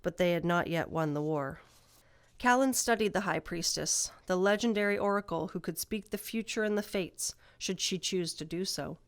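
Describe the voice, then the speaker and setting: Low-voiced woman narrating a fantasy story. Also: normal speed, clear, resonant tone, dry recording.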